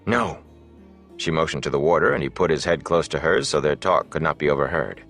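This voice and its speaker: A man's voice: rumbling low, fast, gravelly, a bit bright, soft background music. A man reading a fantasy novel aloud as scripted audiobook narration.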